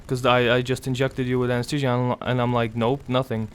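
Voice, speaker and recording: A young man speaking quietly and weakly in casual gameplay commentary, his voice raspy and strained after throat surgery, pausing often. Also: mid-range voice, level tone. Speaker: gaming commentator.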